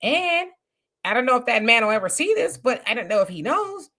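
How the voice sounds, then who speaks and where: Woman hosting a live stream, bright voice pitched a bit high, reads comments n answers em. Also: big pitch swings, brisk. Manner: reads viewer comments aloud and answers them; emphatic, conversational. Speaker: host of a live discussion.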